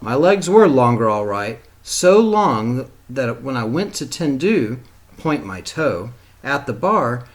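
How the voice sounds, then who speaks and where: A man reads a children's storybook aloud as a teacher would. His medium-pitched voice is very expressive, moving freely up and down. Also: slow.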